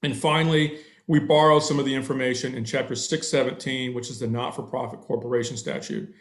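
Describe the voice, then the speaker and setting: A man's voice: medium pitch, a little fast, a bit bright, roomy sound. A middle-aged man, an attorney, answering a board's questions on a video call through a headset, thorough and a bit long-winded, with many fillers.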